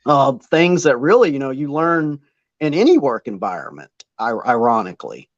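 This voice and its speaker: A man's voice: mid-range voice, sing-song, moderate pace, dry recording, highs cut off. A man who works as a wrestling ring announcer, chatting casually as an interview guest and rambling with plenty of fillers. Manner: rambling, self-deprecating, many fillers.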